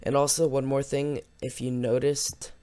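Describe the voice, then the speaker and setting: A man with a slightly hoarse voice giving casual, rambling, unscripted let's play commentary as host of a gaming video. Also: medium pitch, flat delivery, moderate pace, background noise. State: slightly hoarse after losing voice from yelling.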